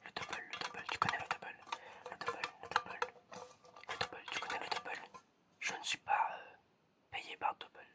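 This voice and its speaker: A person whispering an ASMR roleplay as an examiner, in a breathy, chatty, playful and hesitant way. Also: moderate pace, pauses often, sharp tone. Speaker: ASMR creator playing an examiner giving sense tests.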